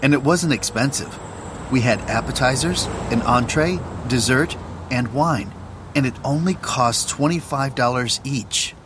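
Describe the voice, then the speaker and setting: A man who announces the tracks and reads the lines of a course dialogue in a slow, careful manner. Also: mid-range voice, background noise. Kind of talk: scripted textbook audio reading.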